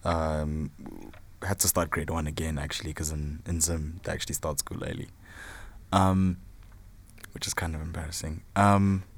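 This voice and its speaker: A man's voice: rumbling low, little pitch movement, normal speed. A South African man in his twenties, talking casually about himself as an interview guest. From Zimbabwe and South Africa. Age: 20s. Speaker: podcast guest, film student and photographer.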